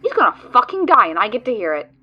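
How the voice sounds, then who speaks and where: A woman's voice: a little high, big pitch swings, quick pace, breathy edge, lo-fi sound, soft background music. A woman voicing a sarcastic, exasperated female guide who swears often, in a fan audio drama.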